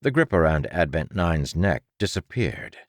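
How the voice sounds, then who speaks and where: Casting: male audiobook narrator, low register, highly animated dramatic read, dry studio-close sound. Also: normal speed, dry recording.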